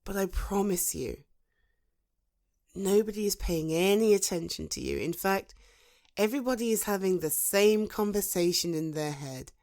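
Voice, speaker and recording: A woman's voice: medium pitch, darkish tone, natural voice. Soothing, supportive British female friend acted by a woman. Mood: earnest, encouraging. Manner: soothing, encouraging, with thoughtful pauses. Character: supportive, protective female friend giving gentle reassurance. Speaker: voice actor in an audio roleplay. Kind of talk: ASMR roleplay, conversational one-sided dialogue.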